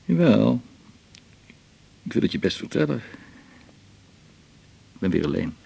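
An actor with a Netherlands Dutch accent playing a weary, dry-humoured family doctor in a radio drama, measured, dryly ironic and at times hesitant. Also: male voice, lowish voice, big pitch swings, creaky, warm tone, breathy edge, full character voice. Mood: subdued, confiding.

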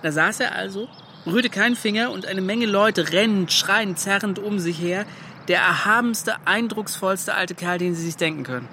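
An actor playing a brash, modest young adventurer in a radio play, telling his story in an excited, colloquial, scoffing way. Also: high voice, normal speed, slight background noise. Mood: admiring, lively. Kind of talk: German radio play acting. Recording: music behind the voice.

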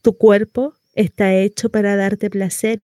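A woman performing a dramatic monologue as a devoted mother counselling her daughter, with deliberate pauses. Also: medium pitch, level tone, dark tone, dry recording, clean studio sound, close to an everyday voice. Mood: tender, earnest. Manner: slow, deliberate, pausing delivery. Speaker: actress.

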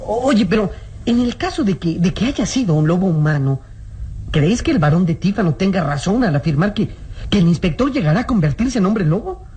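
A woman playing an earnest, anxious, inquisitive young boy sidekick in a radio drama, with a husky voice. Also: mid-range voice, lively intonation, brisk, dark tone. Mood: worried, curious.

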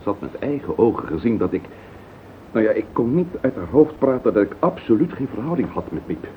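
An actor playing an earnest, protective and emotional husband in a radio drama, with a Netherlands Dutch accent. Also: male voice, lowish voice, sing-song, brisk. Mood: defensive, explaining. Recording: tinny, noisy old radio recording.

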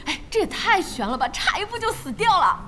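Young female gamer, high and very animated, nervy and excitable, faint music underneath. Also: fairly quick, exaggerated voice. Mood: amazed relief. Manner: excitable, expressive, wide-eyed. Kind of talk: Chinese audio drama acting.

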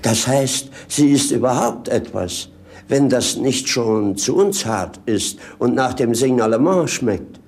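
An actor playing a witty, mocking servant in theatrical, mock-pompous 1950s radio-play style. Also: male voice, mid-range voice, animated, slow pace, a little mellow. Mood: scheming, mock-pompous. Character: roguish servant companion, witty, mocking, down-to-earth opportunist.

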